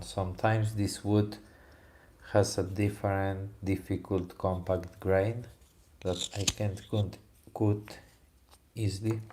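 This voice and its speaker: A man from Poland with a Polish accent mixed with Italian, chatting softly in halting English on a live stream while he carves wood and answers chat questions. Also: low voice. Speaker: woodcarving livestreamer.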